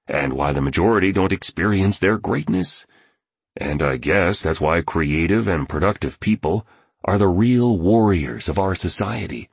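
A man voicing an earnest, enthusiastic male artist in an audiobook, with a husky voice. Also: low voice, dry recording, full character voice. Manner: earnest, eager explaining.

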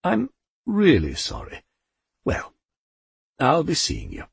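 Brief: male narrator doing a meek storybook Death, flustered and fussy, high and husky. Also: huge pitch swings, moderate pace, a little mellow, no room sound, heavily acted. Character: nervous, lightly built male Death with clipboard.

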